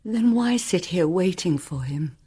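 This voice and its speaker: An actress playing a concerned friend gently coaxing someone in a radio play. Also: female voice, on the low side, lively intonation, unhurried, husky, no room sound, studio quality. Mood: concerned, pleading.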